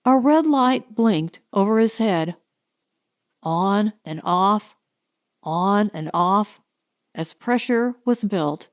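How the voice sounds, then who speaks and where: A woman reading a science fiction audiobook as a dramatic narrator, with deliberate, suspenseful, weighted phrasing. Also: medium pitch, darkish tone, dry recording, studio quality.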